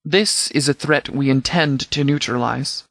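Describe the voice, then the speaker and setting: A man's voice: mid-range voice, a little slow, gravelly, fairly bright tone, dry recording. Stern, formal sheriff in command , acted by a man. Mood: grave, resolute. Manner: formal, clipped, authoritative military phrasing. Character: stern, composed male sheriff commanding a militia department. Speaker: commanding officer. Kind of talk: scripted video game dialogue.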